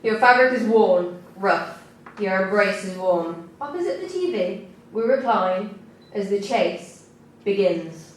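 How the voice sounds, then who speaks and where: A woman reading her own writing at a live literary event, with a measured, deliberate delivery. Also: medium pitch, lively intonation. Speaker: compere and writer reading own work. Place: indoor live event venue. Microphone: distant camera recording. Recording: echoey room recorded from far off, faint noise.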